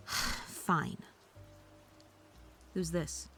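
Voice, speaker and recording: A woman's voice: extremely slow, pushed and bright, soft background music. A woman playing a snarky, defensive female hacker under interrogation in an audio drama, sarcastic and indignant. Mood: dry, sullen.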